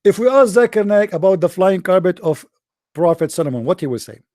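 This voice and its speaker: A man's voice: sits high, sing-song, brisk, darkish tone, dry recording, studio quality. A man in a live online debate who reads a passage aloud and mocks it with sarcastic, mock-serious jokes. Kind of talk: live online debate, reading and mocking commentary.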